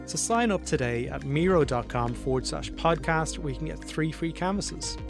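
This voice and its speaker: A man's voice: a little high, lively intonation, moderate pace, gravelly. Podcast host man, with music behind. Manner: friendly, encouraging with short backchannels. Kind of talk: podcast interview.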